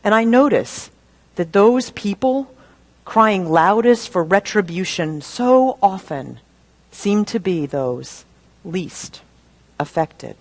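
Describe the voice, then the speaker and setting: A woman minister delivering a sermon in a measured, deliberate way, using rhetorical questions and repetition for emphasis. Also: medium pitch, lively intonation, darkish tone. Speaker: minister preaching.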